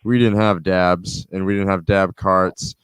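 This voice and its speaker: A man in his thirties hosts a laid-back chat, with a deep, smooth, resonant voice. He talks loosely, circling back to rephrase questions. The sound is dry and close. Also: little pitch movement, unhurried, dry recording. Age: 30s. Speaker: podcast host. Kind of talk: casual chat.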